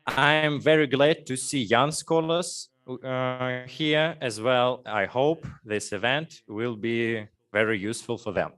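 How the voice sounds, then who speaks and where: A Russian-accented man opens the conference session with formal greetings and introductions. He speaks slowly and pauses on many fillers, at a middle pitch. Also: fairly even intonation, fairly bright tone. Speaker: conference moderator. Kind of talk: formal welcome address.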